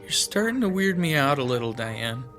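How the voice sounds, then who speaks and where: Skeptical, animated young man. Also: mid-range voice, moderate pace, soft background music. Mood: uneasy. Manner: doubting, questioning. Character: skeptical, uneasy young man in a theater troupe. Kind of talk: radio drama acting.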